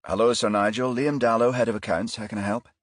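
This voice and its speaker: A man's voice: lowish voice, steady tone, quick pace, no room sound, studio quality, pitched above the performer's own voice, lightly acted. A man voicing a polite, deferential male bank accounts chief in an audiobook, formal and obliging.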